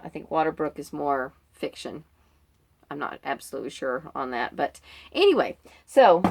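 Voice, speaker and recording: A woman chatting informally in a book vlog, meandering through her reading list and often interrupting herself. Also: mid-range voice. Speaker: book vlogger.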